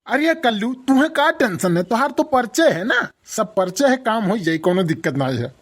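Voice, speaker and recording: A man's voice: high-pitched, very animated, normal speed. A man voicing a scolding, sarcastic village father in a comic cartoon, with an Awadhi accent. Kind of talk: comic rustic cartoon dialogue.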